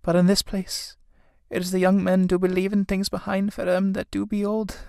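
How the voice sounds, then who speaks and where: A woman's voice: mid-range voice, monotone, normal speed, gravelly, a little mellow, no room sound, clean studio sound, pitched above the performer's own voice, lightly acted. A woman playing a fretful, grieving old Irish mother in a stage play, with a plaintive, lamenting delivery. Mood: weary, frail.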